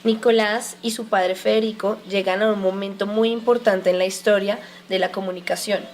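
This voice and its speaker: A woman's voice: mid-range voice, fairly even intonation, normal speed, a little mellow, soft background music. A woman narrating an educational radio drama from a script.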